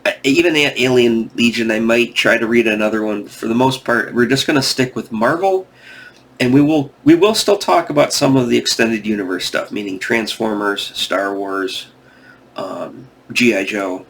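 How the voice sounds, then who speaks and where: Tired gravelly guy rambling on about old comics, keeps restarting, mid pitch. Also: normal speed, a bit bright. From United States. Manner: meandering, restarts often, goes off on tangents. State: tired, yawning. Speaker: comic book video host. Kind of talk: casual rambling commentary.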